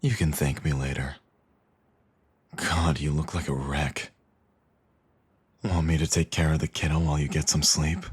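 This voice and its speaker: A man's voice: very deep voice, flat delivery, measured pace, pauses often, slightly rough, no echo, full character voice. A man playing a cocky villain in an intimate audio roleplay, with a teasing, smug, flirtatious drawl.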